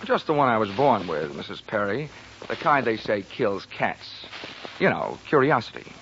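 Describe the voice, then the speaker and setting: An actor playing a wry, hard-boiled insurance investigator in a 1940s radio detective drama, wisecracking and clipped, both in narration and in dialogue. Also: male voice, fairly low pitch, very animated, moderate pace, a bit bright, slight background noise. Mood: sardonic. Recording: dull lo-fi 1940s radio recording.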